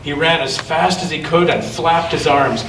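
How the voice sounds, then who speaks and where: A man reading a picture book aloud to children and chatting gently with them in a church. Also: fairly high pitch, normal speed. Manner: gentle question-and-answer talk with children. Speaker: storytime reader at a church children's gathering. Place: large echoey church room with children. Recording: echoey noisy room, distant mic.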